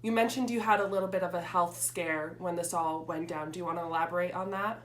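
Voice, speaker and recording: A woman's voice: lowish voice, fairly even intonation, fast talker, fairly bright tone, a little hiss or hum. A young woman interviewing a fellow student in a casual, supportive way, asking follow-up questions.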